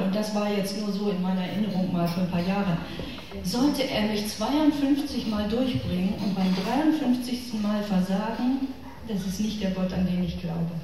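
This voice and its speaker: A woman's voice: mid-range voice, mellow. A middle-aged woman with a northern German accent giving a chatty, informal faith talk to a women's church gathering, speaking to her listeners directly and personally. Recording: echoey hall from far off with room noise.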